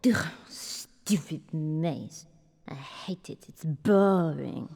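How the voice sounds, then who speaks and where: A woman's voice: deep voice, very slow, gravelly, dark tone, slightly rough, long reverb tail, exaggerated voice. An actress playing a morbid, spoiled young aristocratic girl in a TV drama, blunt, petulant and imperious. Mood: sulky. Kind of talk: TV drama acting.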